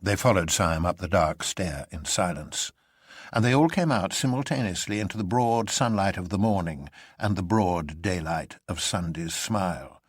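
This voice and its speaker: A man with a fairly deep voice narrates a classic novel in a dramatic, scripted style. The recording is close and dry, with hardly any room sound. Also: lively intonation, moderate pace, slightly rough, no echo. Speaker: narrator and reader.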